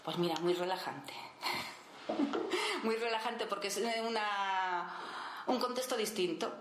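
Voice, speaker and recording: A woman, a caregiver answering radio interview questions in a warm, halting way with many restarts. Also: medium pitch, animated, noisy background. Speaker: interviewee, caregiver in a children's home. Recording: echoey room.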